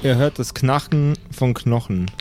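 A man narrating an improvised tabletop game as game master, in a measured, suspenseful way with dramatic pauses. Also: medium pitch, darkish tone, soft background music.

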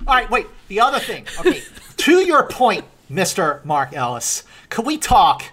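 A woman hosting a casual film podcast, arguing in a halting but insistent way. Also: medium pitch, big pitch swings, a bit bright, breathy edge. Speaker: podcast host. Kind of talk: casual movie debate.

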